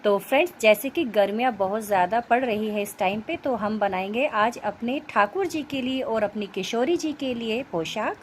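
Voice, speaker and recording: A high-voiced woman speaks with a sore throat. She explains things step by step in a casual tutorial manner, as the host of a craft video. Faint noise sits behind her voice in the recording. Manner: explanatory, instructional.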